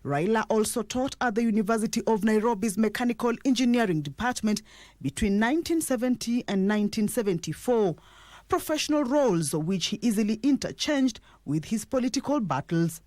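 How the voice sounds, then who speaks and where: A woman's voice: fairly high pitch, lively intonation, a little fast, a little mellow, dry recording, clean studio sound. A woman with a Kenyan accent narrating a scripted television news report.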